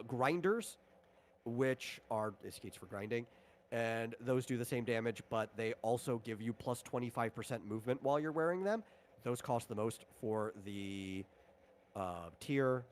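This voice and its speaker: A man acting as game master, talking through the rules and equipment of a tabletop game in an unscripted way. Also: medium pitch.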